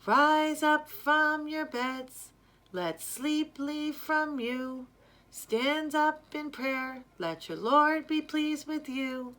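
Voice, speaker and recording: Storybook Ramadan drummer, performed by a woman: high, bright, drawn-out devotional chant, urging people awake. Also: heavily acted. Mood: devout. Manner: sing-song, drawn-out chanting. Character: Ramadan night drummer chanting devout wake-up calls.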